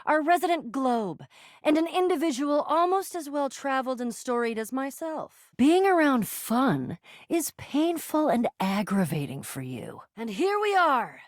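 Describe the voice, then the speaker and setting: A woman's voice: high-pitched, sing-song, dry recording, clean studio sound. A young American woman voicing a bossy, sarcastic, theatrical and easily flustered female adventurer in a video game. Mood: brisk, wry. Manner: theatrical, exasperated, quick to swing between bravado and fluster.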